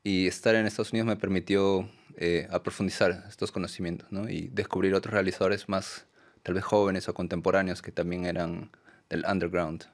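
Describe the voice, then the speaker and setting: A Peruvian man, a documentary filmmaker, answering interview questions in a soft, hesitant way with many fillers and tag questions. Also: low voice, little pitch movement, brisk. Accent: Peruvian Spanish. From Peru.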